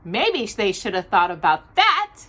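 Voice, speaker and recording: A woman hosting a children's storytime, reading aloud expressively in a deliberate storybook manner with casual asides. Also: mid-range voice. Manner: animated, deliberate storybook delivery.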